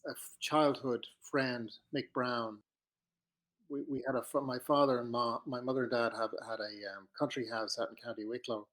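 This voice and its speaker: An Irish man in his fifties, a podcast guest telling his life story in a relaxed, reflective way, with pauses and restarts. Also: mid-range voice, poor audio. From Ireland. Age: 50s.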